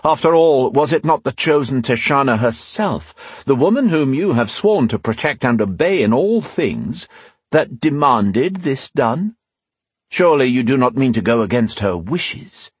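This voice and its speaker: A man voicing a cold, thin male army commander who speaks calmly and dismissively, with slow, measured delivery. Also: mid-range voice, breathy edge, no echo, pitched above the performer's own voice. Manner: measured, dismissive, matter-of-fact.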